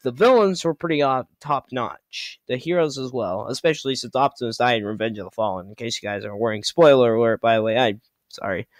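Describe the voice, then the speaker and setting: A man's voice: medium pitch, a little fast, no room sound. A teenage boy YouTuber chatting casually to camera on a headset mic, often stalling and muttering as he thinks. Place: bedroom. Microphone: gaming headset.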